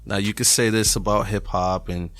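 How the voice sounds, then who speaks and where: A man's voice: lowish voice, steady tone, normal speed, fairly bright tone, soft background music. A man with an American accent speaking casually and candidly as a podcast guest, emphatic and frustrated in his delivery. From New England, United States. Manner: conversational, frustrated, emphatic, swearing. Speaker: podcast guest, hip hop artist.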